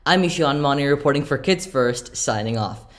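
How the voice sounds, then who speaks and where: A man's voice: medium pitch, animated, normal speed, fairly bright tone. A male reporter hosting an interview in a polite, conversational style.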